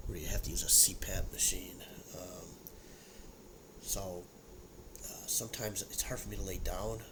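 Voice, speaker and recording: A man's voice: low voice, fairly even intonation, unhurried, background noise. A man in his sixties with a congested, sniffly voice, chatting loosely at home about whiskey with lots of fillers and repeated words. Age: 60s. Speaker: whiskey review vlogger. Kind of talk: casual solo tasting chat.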